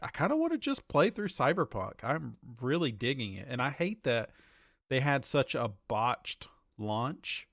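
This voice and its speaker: A man's voice: mid-range voice, big pitch swings, unhurried, fairly bright tone, dry recording, studio quality. A man co-hosting a podcast, chatting casually and enthusiastically about video games in informal language. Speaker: podcast co-host.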